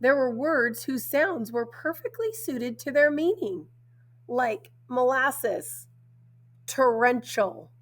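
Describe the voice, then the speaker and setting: A woman teacher with a cold, her voice raspy and hoarse, reading a picture book aloud to children. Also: high-pitched, lively intonation. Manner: slow, deliberate read-aloud with stressed words.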